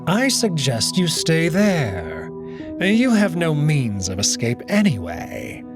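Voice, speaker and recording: A cold, imposing male wolf ruler, played by a man, speaking slowly and menacingly with a hint of dry humor. His voice rises above the narrator's usual pitch and carries a little more force. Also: wildly swooping pitch, over music, exaggerated voice.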